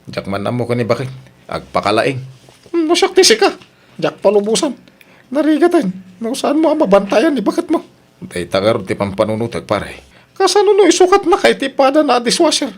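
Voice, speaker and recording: A man playing a skeptical, teasing Ilocano husband in a radio comedy drama, with an Ilocano Filipino accent and an animated, mocking manner. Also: extremely high pitch, a little fast, heavily acted.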